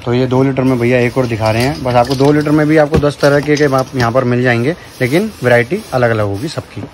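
A man walks viewers through a crowded shop, talking casually into a handheld phone camera. He keeps echoing the seller's answers back to his audience in a mid-pitched voice. A faint hum sits behind him. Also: a little fast. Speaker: vlogger. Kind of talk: casual shop-tour vlog. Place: crowded cooker shop, indoors.

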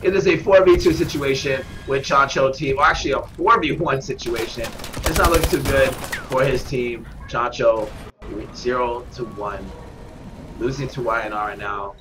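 A man with a stuffed-up nose, commentating live at a gaming tournament, getting excitable on big kills. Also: mid-range voice, normal speed. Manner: excitable, shout-outs to viewers, bursts of hype on kills. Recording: noisy with game gunfire behind.

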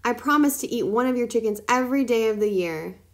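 A woman voicing a sly, hungry male fox in a storybook reading, smooth and scheming. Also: a little high, animated, quick pace, pitched above the performer's own voice. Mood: panicky. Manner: smooth and sly, turns panicky when threatened.

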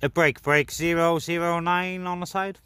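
A man's voice: a little high, fairly even intonation, moderate pace. A man chatting informally to viewers as he narrates a hobby radio vlog from a garden, sometimes making radio calls. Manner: informal, addresses viewers as guys. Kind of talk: casual outdoor vlog chat with radio calls. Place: outdoors in a garden. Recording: loud outdoor noise, radio static. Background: outdoor ambience and CB radio noise.

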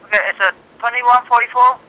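A man's voice: very high voice, steady tone, quick pace, bright, forceful tone, clean tone. A man taking orders over a tinny phone line, terse, clipped and questioning, repeating things to confirm. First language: Chinese. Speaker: restaurant employee taking orders. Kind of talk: terse transactional phone talk. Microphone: heard over a phone.

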